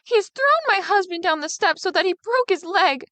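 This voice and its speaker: Hysterical sexton's wife, as a reader puts her on, shrill and piercing. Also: animated, fairly quick, fairly bright tone, no room sound. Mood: frantic. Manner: shrill, screaming. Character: frantic middle-aged sexton's wife.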